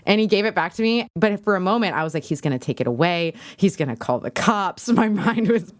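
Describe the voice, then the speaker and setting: A woman guest on a podcast tells a casual story in a chatty, conversational way. Her voice sits fairly low, and the sound is dry with little room around it. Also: animated, a little fast, no room sound. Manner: chatty, peppered with "like", laughing as she talks.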